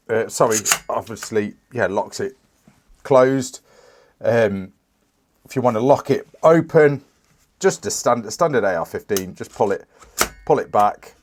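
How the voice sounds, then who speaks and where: A British man in his thirties, a little croaky from a cold, casually reviewing a rifle with many fillers and restarts. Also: medium pitch, a little hiss or hum. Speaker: firearms reviewer.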